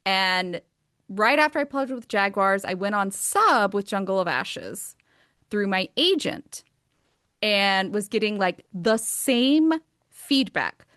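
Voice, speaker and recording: An American woman in her thirties chats as a guest about her writing, eager and upbeat, with plenty of "um" and "like" scattered through her talk. Her voice sits at an ordinary middle pitch. It is recorded close and dry, with no room sound around it. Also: animated, slow, a bit bright, dry recording. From United States. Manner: enthusiastic, chatty, many fillers like um and like. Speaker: author and guest. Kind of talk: casual interview conversation.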